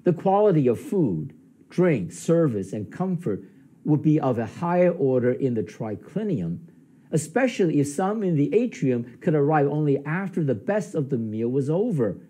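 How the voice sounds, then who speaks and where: An older man delivers a sermon from a plain indoor studio, his voice very dark and mellow with a husky edge. He explains in a measured, teaching manner, his pitch rising and falling with lively emphasis. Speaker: pastor.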